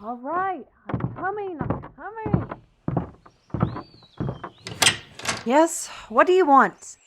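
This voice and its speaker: A woman playing a weary, irritable, paranoid artist with magical abilities in a fantasy audio drama, grumbling and halting. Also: very high-pitched, animated, heavy background noise. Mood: grumbling, wary.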